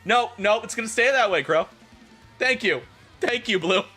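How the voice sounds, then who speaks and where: Male livestream host, theatrical and crude joker; lively, fairly high voice, music underneath. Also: moderate pace. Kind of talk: livestream banter and dramatic reading of fan fiction.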